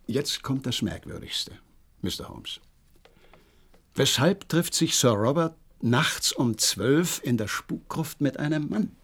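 An agitated horse trainer gives his account in a radio drama. His mid-pitched voice swings widely as he reports eagerly and dramatically, always deferential to his betters. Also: expressive, takes it slowly, a bit bright, slightly rough, full character voice. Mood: secretive, earnest. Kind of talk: German radio play acting.

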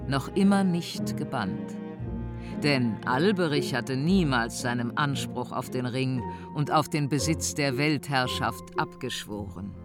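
A narrator reading scripted fantasy radio drama, measured and storytelling. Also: medium pitch, over music.

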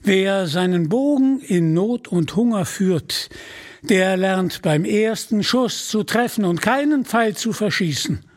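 Storybook outlaw archer, young, bold, forthright, unhurried and fairly high male narrator acting it out. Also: animated. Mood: proud, confident.

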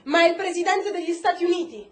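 A woman plays an evasive government secretary in a stage drama. She is formal, officious and condescending, with a very high-pitched voice. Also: fast talker. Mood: officious, haughty. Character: evasive, haughty female American government secretary. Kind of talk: theatrical political press questioning.

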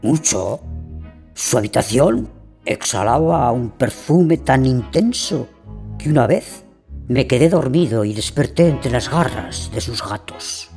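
A man playing a sly, gossiping sprite in a radio drama, measured and conspiratorial. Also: mid-range voice, animated, creaky, a little mellow. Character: sly, gossiping male sprite commenting on the tale. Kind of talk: stage-like radio drama acting. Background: music.

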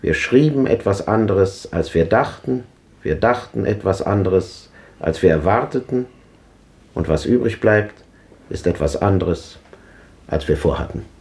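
A man's voice: lowish voice, animated, unhurried, gravelly, darkish tone. An actor playing an ironic, resigned old man who lectures drily, in a 1950s radio drama. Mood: wry.

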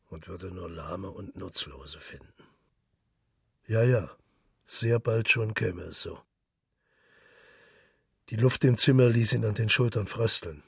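A brooding, melancholy narrator of a literary radio play, speaking solemnly and with measured care. The voice is very low and heavily husky, with a gravelly creak at the edges. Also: male voice, fairly bright tone, no room sound, studio quality, far from the mic, exaggerated voice. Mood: subdued, melancholy.

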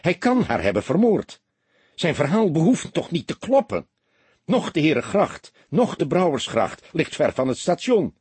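A man's voice: fairly high pitch, sing-song, normal speed, a little mellow, slightly rough, no echo, voice pushed up, heavily acted. A man voicing a young male detective in an audiobook, agitated, indignant and incredulous. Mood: agitated disbelief.

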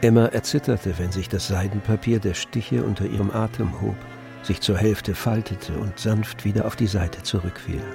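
A man giving measured, articulate literary narration for a radio play. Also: on the low side, fairly even intonation, creaky. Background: music.

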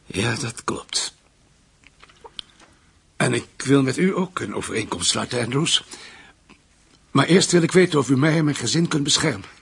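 An actor playing an anxious, cornered German ex-SS husband who bargains, in a hoarse, gravelly voice, in a radio drama. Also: male voice, mid-range voice, animated, moderate pace, long pauses, a bit bright, full character voice. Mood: tense, bargaining. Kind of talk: Dutch radio drama acting.

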